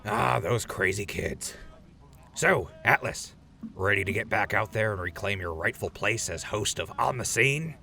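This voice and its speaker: A man playing an upbeat, chummy wasteland radio host with animated, informal patter in an audio drama. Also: on the low side, unhurried, gravelly, a little hiss or hum, full character voice. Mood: chummy, wry.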